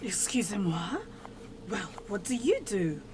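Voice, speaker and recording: A woman playing a prim, puzzled newcomer with a deliberate, questioning manner in an amateur radio play. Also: mid-range voice, very animated. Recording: faintly noisy amateur recording.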